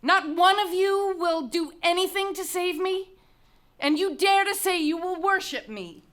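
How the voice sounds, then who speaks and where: Young condemned princess, high voice, proud and anguished, declaiming Greek tragedy on stage. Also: female voice, a little slow, fairly bright tone. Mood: bitter, indignant. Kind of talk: dramatic reading of Greek tragedy.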